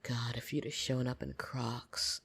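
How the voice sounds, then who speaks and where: A woman acts out a female vampire who dotes possessively on her human pet in an ASMR roleplay. Her voice is husky and heavy with fry, drawled out slowly and intimately. She teases, often sinking into a breathy whisper right at the microphone. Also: rumbling low, fairly even intonation, dry recording, heavily acted. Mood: teasing, flirtatious. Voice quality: husky, creaky. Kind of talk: ASMR roleplay, scripted monologue to the listener. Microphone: close, dry microphone.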